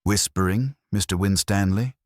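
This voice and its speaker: A man voicing a mild, unflappable police inspector in a mystery story, courteous, measured and dry in manner. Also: low voice, dry recording, voice pushed up, natural voice. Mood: mild, unfazed. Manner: mild, unhurried, dryly courteous. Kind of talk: dramatised audiobook-style mystery storytelling.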